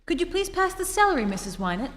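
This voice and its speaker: An actress playing a detective's playful, inquisitive wife in an old-time radio drama re-enactment. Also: female voice, extremely high pitch, big pitch swings, fairly bright tone, heavily acted. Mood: arch. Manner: eager, arch, quick to question. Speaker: amateur stage actor. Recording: live stage in reverberant hall.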